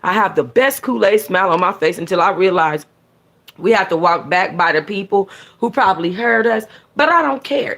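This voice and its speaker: Sassy woman reading a story aloud. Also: on the low side. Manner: sassy, conversational, slangy. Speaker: book club host reading a novel aloud. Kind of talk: expressive first-person audiobook-style reading with casual asides.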